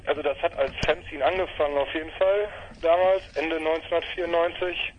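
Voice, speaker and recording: A man's voice: a little high, steady tone, a little fast, slight background noise. A man in his thirties from northwestern Germany, a fanzine maker talking casually over the phone, rambling and hesitant with fillers. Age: 30s. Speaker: fanzine maker, interview guest. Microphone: telephone. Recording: tinny phone line, husky.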